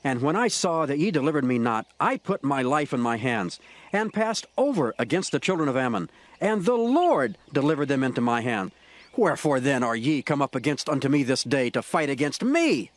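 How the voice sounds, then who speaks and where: An actor playing an anguished, solemn Israelite warrior leader in a dramatized scripture reading, declaiming in emphatic archaic phrases. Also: male voice, medium pitch, sing-song, moderate pace, lightly acted. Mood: defiant.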